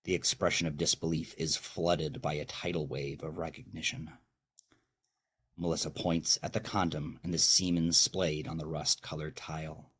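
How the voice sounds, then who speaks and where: A man narrating dark fiction as a horror story host, with slow, brooding, deliberate dramatic delivery and a very deep, husky voice. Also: flat delivery, a little hiss or hum.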